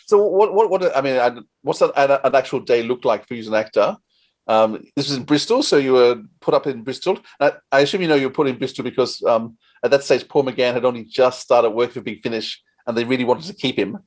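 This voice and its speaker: A man with a medium-pitched voice hosts an informal interview. He puts his questions conversationally, starting and restarting as he rephrases them. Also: fairly quick, a little mellow. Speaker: interviewer, host.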